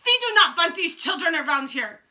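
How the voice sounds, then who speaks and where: A woman's voice: very high voice, big pitch swings, fairly quick, bright, forceful tone, voice pushed up, full character voice. A woman voicing a furious, screaming old witch ruler giving orders to her followers. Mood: shrieking. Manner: screaming, harsh foreign-tinged commands.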